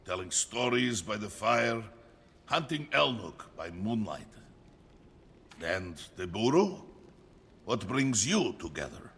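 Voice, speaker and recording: An actor playing a big, warm-hearted warrior from a frozen land in a video game, with a husky, gravelly voice and a hearty storytelling manner. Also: male voice, fairly low pitch, big pitch swings, takes it slowly, long pauses, exaggerated voice. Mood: jovial. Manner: hearty storyteller, speaks of himself in third person. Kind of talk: dramatic video game acting.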